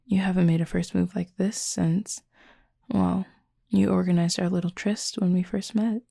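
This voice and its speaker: A woman voicing a confident, flirty werewolf pack leader in an intimate audio roleplay, with a husky, breathy, gravelly voice and a teasing, sardonic drawl. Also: fairly low pitch, steady tone, normal speed, dark tone, no room sound, clean studio sound.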